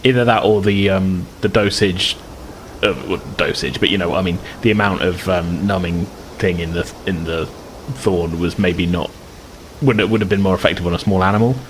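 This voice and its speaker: A man's voice: low-pitched, fairly even intonation, normal speed, a little hiss or hum, poor audio. A man improvising casual narration as game master, explaining things in a rambling, hedging way.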